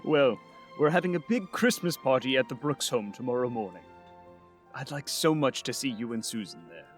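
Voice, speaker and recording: A man playing a kindly, jolly old Santa Claus in a radio drama, gentle and avuncular. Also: medium pitch, animated, moderate pace, faint music behind, lightly acted. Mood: warm, content. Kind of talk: radio play acting.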